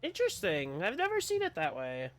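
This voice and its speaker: A man's voice: high voice, huge pitch swings, a little fast, faint music behind. A man doing let's-play commentary, reading game dialogue aloud and reacting to it.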